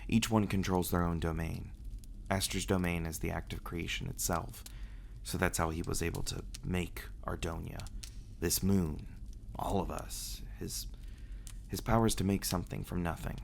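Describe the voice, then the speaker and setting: Man acting as a low, gravelly brooding vampire. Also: little pitch movement, a little hiss or hum. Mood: somber, explaining. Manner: halting, hesitant delivery with dramatic pauses and sighs. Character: reclusive male vampire, brooding and earnest, confiding in a companion. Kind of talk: ASMR roleplay audio drama acting.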